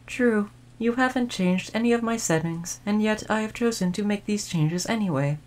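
A woman playing a gentle, dutiful female android servant in an audio roleplay, speaking in formal, polite, measured phrases. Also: mid-range voice, lightly acted, low-quality recording. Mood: earnest, reflective. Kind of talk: audio roleplay addressed to the listener.

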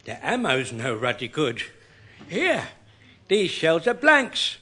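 An actor playing a belligerent, confused old British wartime soldier in a radio comedy, blustering and excitable. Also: male voice, a little high, slow pace, slightly rough, exaggerated voice. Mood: outraged. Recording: faint noise on old radio recording.

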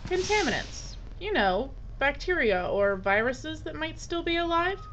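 An actress playing a shrewd, bossy documentary crew leader with a brisk, businesslike manner in an audio drama. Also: female voice, sits high, very animated, a bit bright, full character voice. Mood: probing. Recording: dull, noisy audio.

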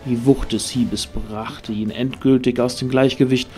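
Male storyteller, soft dark tone, mid register, dramatic scripted fantasy narration. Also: mid-range voice, steady tone, measured pace, faint music behind.

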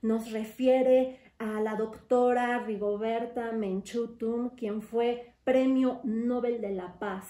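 A woman's voice: on the high side, dark tone. Young woman host, slightly distant and echoey. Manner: thoughtful, long winding questions. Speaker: interview host. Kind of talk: conversational interview. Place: studio room with wood panels and glass. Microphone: desk mic on stand. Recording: echoey room, mic at a distance.

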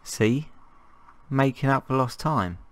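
A man with a croaky voice from a cold, chatting casually and jokingly as he plays and narrates a survival game. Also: mid-range voice, animated, slow. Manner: rambling, jokey, thinking aloud. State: hoarse from a cold. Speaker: gameplay commentator. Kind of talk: casual gameplay commentary.

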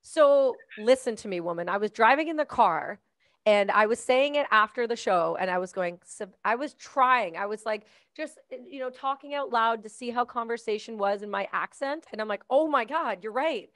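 A woman in her thirties with a Canadian accent, hosting a podcast in casual, outspoken and effusive conversation on a boom-arm studio mic. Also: animated, moderate pace, fairly bright tone, dry recording. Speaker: podcast host. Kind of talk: casual interview chat. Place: home studio, indoors.

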